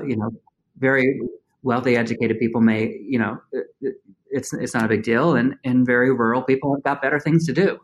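A man's voice: mid-range voice, poor audio. A man speaking candidly as an interview guest, often restarting his phrases. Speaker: guest, farm business owner. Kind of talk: conversational interview.

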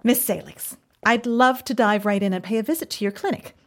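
An actress playing a brisk, self-assured, officious government minister in a comedic audio drama, with crisp bureaucratic formality. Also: female voice, fairly high pitch, lightly acted.

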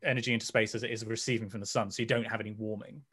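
A man's voice: on the low side, little pitch movement, racing, a bit bright, breathy edge. A man explaining science casually on an online call, digressing and reaching for analogies.

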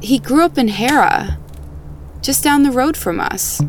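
Frank, skeptical woman, lively intonation. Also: high-pitched, a little slow, a little hiss or hum. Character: frank, skeptical small-town woman, wryly blunt. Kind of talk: naturalistic audio drama dialogue.

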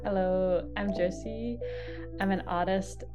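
An artist answering interview questions in a thoughtful, deliberate way, pausing between phrases. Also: medium pitch, fairly even intonation, music in the background. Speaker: artist, podcast guest.

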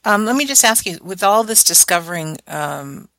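A woman's voice: fairly low pitch, animated, normal speed, fairly bright tone, no echo. A woman hosting an interview radio show, moving between scripted introductions and conversational questions.

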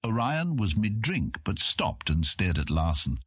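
A man narrating a scripted fantasy audiobook in a measured storytelling manner. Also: low-pitched, sing-song, fairly bright tone, husky, dry recording, clean studio sound.

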